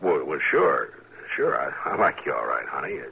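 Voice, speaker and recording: An actor playing a gruff, awkwardly kind frontier marshal in an old-time radio western, halting and stammering. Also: male voice, very animated, sharp tone, full character voice. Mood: flustered, gentle. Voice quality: deep, gravelly voice. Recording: muffled, noisy old radio recording.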